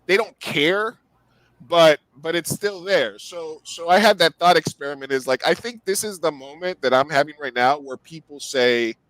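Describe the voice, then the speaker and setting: A man's voice: fairly high pitch, moderate pace, a bit bright, no echo, poor audio. A man in his forties hosting a casual interview podcast, rambling with many restarts and fillers. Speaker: podcast host.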